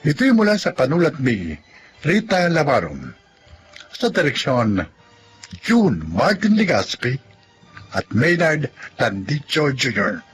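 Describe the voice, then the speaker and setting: A man narrates as a radio drama announcer in a dramatic, scripted style. His delivery is slow and highly animated, with faint music underneath.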